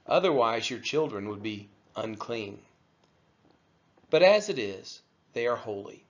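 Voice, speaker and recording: A man reading scripture aloud from a text, with measured, emphatic phrasing. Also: mid-range voice, sing-song, dull audio. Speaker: scripture reader.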